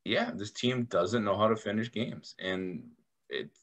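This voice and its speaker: A man's voice: fairly low pitch, animated, unhurried, fairly bright tone. A man co-hosting a casual postgame sports show from home over a video call, frank with wry jokes and a habit of repeating himself. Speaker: co-host of a sports fan show. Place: home room.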